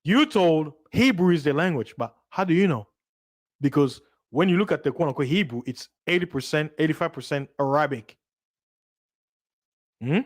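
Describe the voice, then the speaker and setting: A man from Central Africa, a native Lingala speaker, talks to his livestream viewers with a slightly higher pitch than most men. He repeats points over and over, breaks off mid-word to restart, and keeps throwing rhetorical questions at the listener. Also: expressive, normal speed. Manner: repetitive and emphatic, many restarts and rhetorical questions. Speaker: livestream host. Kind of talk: casual livestream monologue.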